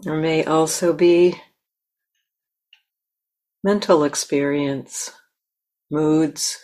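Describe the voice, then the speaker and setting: A woman meditation teacher leading a guided meditation in a gentle, unhurried manner with long pauses. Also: sits low, steady tone.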